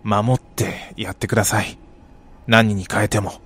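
An actor in a radio drama playing an earnest young brother-in-law who speaks politely and haltingly. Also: male voice, on the low side, little pitch movement, a bit bright, slightly rough. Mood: earnest pleading.

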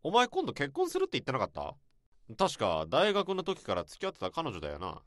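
An actor playing a blunt, rough-talking senior male office worker in a radio drama. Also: a little high, wildly swooping pitch, a little fast, fairly bright tone, no room sound, studio quality. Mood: grumbling. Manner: blunt, gruff casual speech.

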